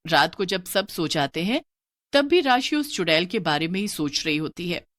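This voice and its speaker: A woman narrating a scripted horror story in a steady storybook manner. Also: lowish voice, normal speed, no room sound, clean studio sound.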